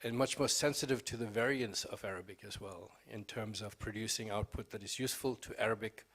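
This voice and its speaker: A man's voice: lowish voice, fairly quick, a bit bright. Man posing a question on a panel. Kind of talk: spontaneous panel discussion.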